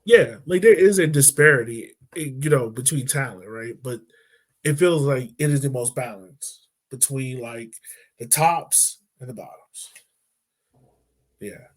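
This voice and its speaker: A man's voice: mid-range voice, measured pace. A man hosting a casual soccer podcast, talking loosely with plenty of fillers. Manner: relaxed, loose, lots of fillers like "um" and "like". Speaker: podcast host.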